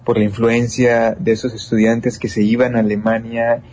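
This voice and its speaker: A man's voice: mid-range voice, flat delivery, normal speed, darkish tone, slight background noise. A young man with a Mexican accent, an engineering student answering interview questions earnestly, with many restarts and fillers. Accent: Mexican Spanish. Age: young adult.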